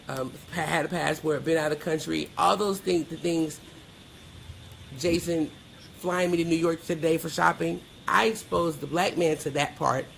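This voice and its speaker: A middle-aged woman with a low, gravelly voice speaks as a podcast guest. She is candid and emphatic, slipping into quoted dialogue as she tells personal stories. There is some noise behind her. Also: rough recording. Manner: emphatic, plain-spoken, acts out quoted dialogue. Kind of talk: candid personal interview storytelling.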